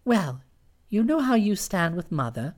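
A woman's voice: mid-range voice, big pitch swings, unhurried, darkish tone, pitched below the performer's own voice. A woman voicing a breezy, scheming young woman. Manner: breezy, matter-of-fact, teasing.

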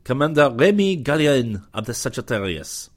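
A man's voice: mid-range voice, sing-song, moderate pace, pitched above the performer's own voice. A man voicing a gracious but sly French starship commander who speaks in flowery, ironic phrases. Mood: courtly, ironic.

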